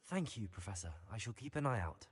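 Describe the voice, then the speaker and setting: An actor playing a polite, earnest young student at a magic school in a video game, with a courteous, formal manner. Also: male voice, on the low side, expressive, fairly quick. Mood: polite, curious. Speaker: game player character, student. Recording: long hall-like reverb.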